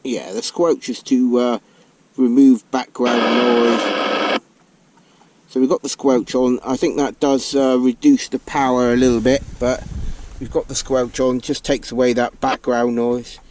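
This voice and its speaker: A man's voice: medium pitch, level tone, unhurried, darkish tone. A man with a British accent giving a casual, chatty hands-on gadget walkthrough to his viewers as a vlog host. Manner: chatty, addresses viewers as folks. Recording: clear vehicle and ambient noise.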